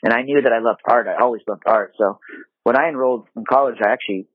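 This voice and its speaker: A man who grew up in Japan talks quickly and loosely in a casual interview, full of likes and you-knows. The sound is dry and squeezed, like a phone or call line, with no room around it. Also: medium pitch, slightly rough, no room sound, phone-quality sound. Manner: relaxed, talkative, lots of fillers. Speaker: guest, apparel designer.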